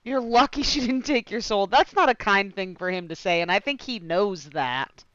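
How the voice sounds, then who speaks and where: A woman's voice: medium pitch, moderate pace, a bit bright, dry recording, highs cut off. A woman streaming a video game with casual, slangy, sweary reaction commentary.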